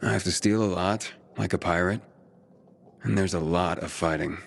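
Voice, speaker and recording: An actor playing a plain-spoken, honest young male survivor who talks in a low-key, candid way. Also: moderate pace, creaky, slight background noise.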